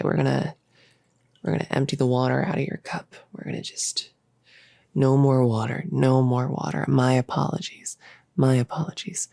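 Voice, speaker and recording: A performer voicing a bossy yet gentle cultist experimenter in a roleplay audio drama, with a creaky voice and a coaxing, hesitant, rambling manner. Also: mid-range voice, dry recording, lightly acted. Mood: gentle, curious.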